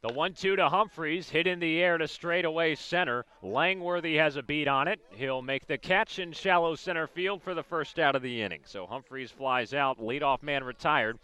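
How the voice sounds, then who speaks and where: A man's voice: a little high, normal speed, hardly any pauses, pushed and bright, clean tone. A man calling baseball play-by-play for radio in clipped, descriptive phrases as the game unfolds. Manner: clipped descriptive calls of the action. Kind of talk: live radio sports commentary.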